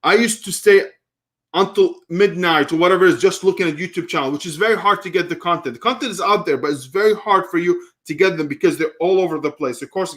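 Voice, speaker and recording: A man in his thirties with a slightly higher-pitched voice. He hosts a live Q&A stream, answering viewers in a loose, rambling way, often restarting sentences as he thinks aloud. He grew up in Kuwait. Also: a bit bright. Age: 30s. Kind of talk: casual live stream Q&A, reading viewer comments.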